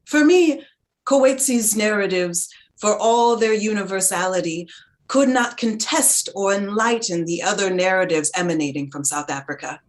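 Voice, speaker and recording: A woman giving a dramatic reading of a literary essay in a measured, reflective, articulate manner. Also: mid-range voice. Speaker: reader in a staged reading.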